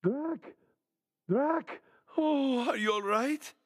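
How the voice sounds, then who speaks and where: A man's voice: extremely high pitch, lively intonation, extremely slow, no room sound, studio quality, full character voice. A man voicing a protective, fussy but loving vampire father in a cartoon, formal and melodramatic in his delivery. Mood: alarmed. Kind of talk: animated cartoon acting.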